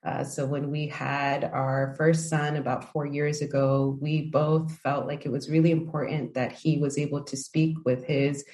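A woman in her thirties from the United States, giving measured, thoughtful answers as an interview guest with frequent ums and uhs. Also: low-pitched, flat delivery, a little mellow. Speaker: interview guest, language project founder. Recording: echoey room.

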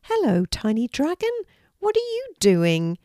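A woman voicing a kind, encouraging young girl in a children's storybook, speaking in a gentle, reassuring way. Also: on the high side, huge pitch swings, unhurried, dry recording, clean studio sound, pitched above the performer's own voice. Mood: curious.

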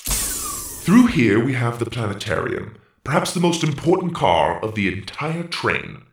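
A man's voice: medium pitch, wildly swooping pitch, measured pace, gravelly, heavily acted. A man voicing a formal, echoing robot tour guide. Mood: solemn, instructive. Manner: formal, precise, announcing. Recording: roomy, echoing voice.

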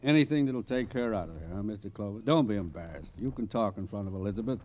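Mocking, lively-voiced radio husband. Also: male voice, lowish voice, quick pace. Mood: mocking, genial. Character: smooth, mocking, self-assured businessman husband. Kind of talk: old-time radio crime drama acting. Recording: dull, noisy vintage radio recording.

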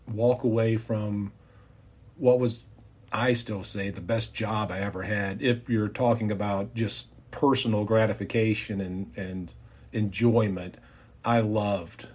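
A middle-aged man tells a personal story as a podcast host, reflective and halting. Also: lowish voice, darkish tone, slightly rough. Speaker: podcast host and former pastor.

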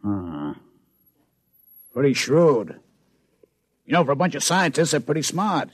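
Curt, impatient gambling boss, animated. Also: male voice, mid-range voice, measured pace, breathy edge. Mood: scornful. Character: impatient, shrewd male gambling-house boss, curt and scornful. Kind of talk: old-time radio comedy acting.

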